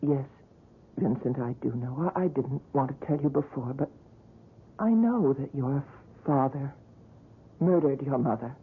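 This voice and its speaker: A woman's voice: very deep voice, lively intonation, slightly rough, heavily acted. Anguished woman in love, tinny old radio. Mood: distressed, tender. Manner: earnest, halting, emotional delivery. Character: anguished young woman in love, recounting her past. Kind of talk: old-time radio drama acting. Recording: tinny band-limited old radio broadcast.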